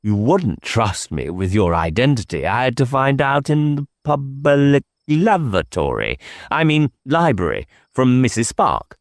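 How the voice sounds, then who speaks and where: A man's voice: mid-range voice, very animated, unhurried, dry recording, clean studio sound, voice pushed up. A man voicing a whimsical, evasive village clergyman with a coy, wordy and mannered way of talking, in an audiobook. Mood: peevish.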